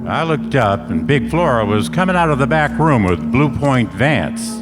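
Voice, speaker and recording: A man's voice: mid-range voice, very animated, normal speed, lightly acted. Man playing a wry hard-boiled detective in an echoey hall. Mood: wry, narrating. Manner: narrates in clipped hard-boiled slang. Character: wry, tough, streetwise male private detective. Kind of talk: hard-boiled detective radio play on stage. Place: stage in a hall. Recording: echoey hall, music behind.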